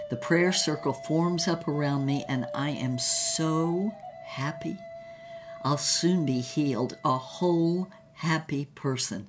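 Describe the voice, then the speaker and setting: An actress playing a giddy, dreamy woman adventurer, telling her psychedelic tale in dramatic, expressive first person. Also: low-pitched. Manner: theatrical, expressive. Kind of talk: dramatic first-person narration with poetic recitation.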